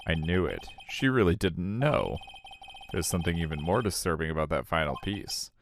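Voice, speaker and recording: Low-voiced man reading game dialogue. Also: very animated, moderate pace, a little hiss or hum. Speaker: channel host.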